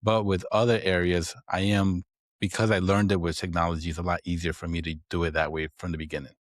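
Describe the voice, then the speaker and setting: A man with a Dominican Spanish accent talks through his work as a podcast guest in a very low voice. He explains things patiently and keeps checking in with "right?". The recording is close and dry. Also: flat delivery, quick pace, dry recording. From Dominican Republic. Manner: explanatory, frequent "right?" tags. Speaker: guest, accounting firm owner. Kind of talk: podcast interview.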